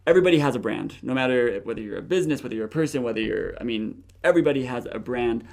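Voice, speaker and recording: A man's voice: medium pitch, animated, rapid-fire, vocal fry, a little mellow. A man co-hosting a casual podcast, joking and laughing as he talks. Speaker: co-host.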